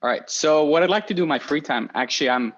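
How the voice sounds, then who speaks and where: A man's voice: on the high side, quick pace, a bit bright, muffled recording. A young man in his twenties who grew up in Spain, an engineer chatting casually to students about careers, friendly and rambling with many restarts. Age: 20s. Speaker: research engineer giving a career talk.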